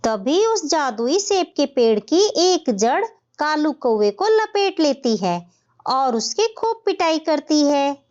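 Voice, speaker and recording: High-voiced woman narrating, slow and expressive. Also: lo-fi sound. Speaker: storyteller. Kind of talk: scripted cartoon story narration.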